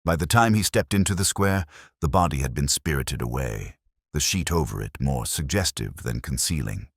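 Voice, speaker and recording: A man reading a scripted mystery story in a measured, wry, literary way. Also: a bass voice, animated, breathy edge, no room sound, studio quality. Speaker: narrator.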